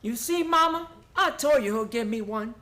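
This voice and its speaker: A performer playing an eager, excitable small boy in a radio play, pleading in a hurry. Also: mid-range voice, moderate pace.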